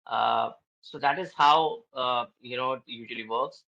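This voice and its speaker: A man explaining how work flows on a webinar, pausing often with fillers. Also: mid-range voice, creaky, pushed and bright, lo-fi sound. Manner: hesitant, frequent fillers. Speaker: webinar speaker. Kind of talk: explaining a business process. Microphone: video call.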